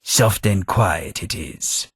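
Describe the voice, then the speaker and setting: An actor playing a melancholy, formal old British former taxman in a video game, husky and measured in his wordy speech. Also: low voice, a bit bright, no echo, clean studio sound, heavily acted. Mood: polite, hesitant. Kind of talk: video game character dialogue.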